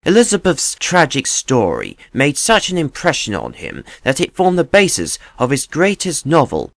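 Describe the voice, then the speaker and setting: A man narrating a true tale as scripted, dramatic storytelling in a measured, grave manner. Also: on the high side, huge pitch swings, creaky, fairly bright tone, no echo.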